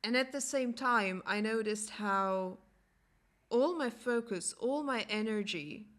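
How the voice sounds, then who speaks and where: A young adult woman whose first language is German, hosting a podcast and speaking in a reflective personal monologue. She pauses often and searches for words. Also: fairly high pitch, a bit bright. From Latvia. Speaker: podcast host.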